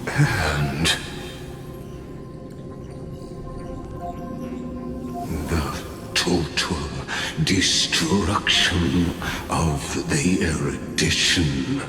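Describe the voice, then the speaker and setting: A grave scheming scholar mastermind. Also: male voice, extremely low pitch, heavily acted. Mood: calm. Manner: slow, measured, solemn monologue. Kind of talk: video game cutscene voice acting. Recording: echoey hall reverb with music behind.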